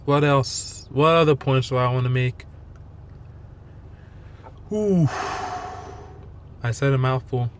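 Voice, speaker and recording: A man giving an unscripted, rambling rant in a monotone, halting way, blunt and self-deprecating with casual swearing. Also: mid-range voice, a little hiss or hum. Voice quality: monotone delivery. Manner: halting, rambling, loosely strung thoughts. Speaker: fitness vlogger.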